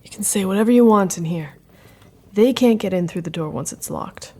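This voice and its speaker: A woman's voice: mid-range voice, a little fast, mellow, natural voice. A woman playing a jaded, defiant survivor among monsters in a naturalistic audio drama, speaking in a wry, blunt, dismissive way.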